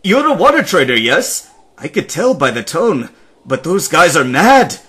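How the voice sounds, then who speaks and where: A man's voice: a little high, big pitch swings, a little slow, sharp tone, slightly rough, pitched above the performer's own voice, heavily acted. A man doing a cheerful, jumpy desert nomad. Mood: chatty.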